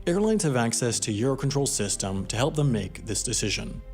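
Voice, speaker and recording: A man narrates an explainer documentary from a script. His mid-pitched voice moves animatedly up and down, with soft music playing behind it. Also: lively intonation, brisk.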